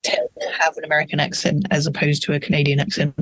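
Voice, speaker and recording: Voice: medium pitch, monotone, quick pace, a bit bright, no echo, rough recording. An author, a guest talking in a thoughtful, reflective way with wry asides. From United Kingdom. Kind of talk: casual interview conversation.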